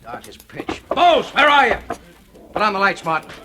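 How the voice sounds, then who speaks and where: An actor playing a brisk police chief who barks orders, in a 1940s radio drama. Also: male voice, high voice, huge pitch swings. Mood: urgent. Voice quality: commanding, barking orders. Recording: old radio recording with faint hiss.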